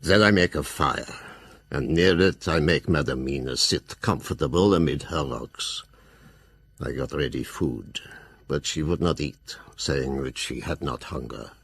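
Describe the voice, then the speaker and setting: An actor playing a grave, fearful old professor who hunts vampires, narrating his journal solemnly in an audio drama, with a gravelly, husky voice. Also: extremely low pitch, measured pace, no echo, exaggerated voice.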